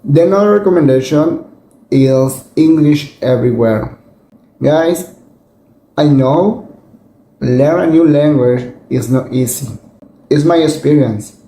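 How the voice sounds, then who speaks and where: A Mexican man speaking English with a Spanish accent, slow and halting, with many fillers and restarts as he hunts for words. His voice is very dark and mellow. He is recorded in an echoey room with a faint hum behind him. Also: fairly high pitch. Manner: reassuring, often adds "believe me" and "yes?". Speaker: immigration video host. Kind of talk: casual advice talk to camera.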